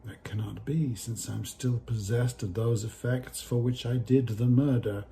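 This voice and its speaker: A murderous usurper king, wracked with guilt, declaims a Shakespearean soliloquy in anguish. His voice is dark and mellow, at a middling pitch, with faint noise behind it. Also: fairly even intonation, a little slow, vocal fry. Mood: anguished, guilty. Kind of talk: classical Shakespearean stage soliloquy.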